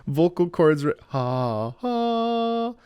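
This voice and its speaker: A man's voice: sits high, a little mellow, clear, resonant tone, no echo. A man hosting a music reaction video, an opera singer who talks enthusiastically and sings phrases along with the music. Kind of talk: casual music analysis.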